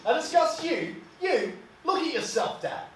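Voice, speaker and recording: Male actor as bitter, wounded adult son: brooding dramatic monologue, halting and emotional, echoey, away from the mic. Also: medium pitch, close to an everyday voice. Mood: outraged. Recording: distant mic, echoey room, faint hiss.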